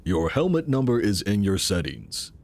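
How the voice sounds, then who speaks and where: A benevolent founder in a futuristic audio drama. He is formal and reassuring, with a very animated, varied delivery. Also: fairly low pitch, moderate pace. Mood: benevolent assurance.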